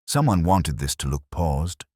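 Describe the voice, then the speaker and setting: A man voicing a calm, courteous, shrewd police inspector who speaks slowly and softly in an audiobook. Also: extremely low pitch, husky, no echo, clean studio sound, heavily acted. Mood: thoughtful. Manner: slow, soft-spoken, measured. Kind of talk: audiobook character dialogue.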